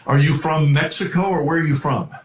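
A man hosting a faith interview, lively, who drops Spanish phrases into his questions. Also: on the high side, a little fast. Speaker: host. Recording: roomy echo.

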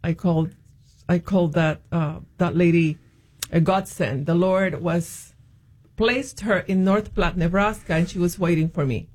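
A woman's voice: lowish voice, steady tone, a little slow, a little mellow, husky. Hesitant woman guest. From Puerto Rico. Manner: hesitant, many ums and restarts. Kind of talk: interview, telling her faith story.

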